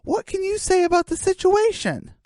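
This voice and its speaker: A woman's voice: extremely high pitch, sing-song, moderate pace, darkish tone, no echo, studio quality, pitched above the performer's own voice. A woman voicing a female chicken radio news anchor with a grave, theatrical delivery, in a mock broadcast. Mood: announcing.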